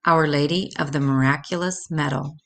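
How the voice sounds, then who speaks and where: An older woman reading a rhymed children's religious story aloud in a measured, deliberate way. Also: sits low, fairly even intonation, creaky.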